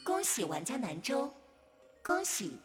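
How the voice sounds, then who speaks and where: A woman's voice: fairly high pitch, big pitch swings, unhurried, music in the background. A woman playing a chirpy, formal game system announcer in an audio drama. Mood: cheerful announcing. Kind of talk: scripted game notification.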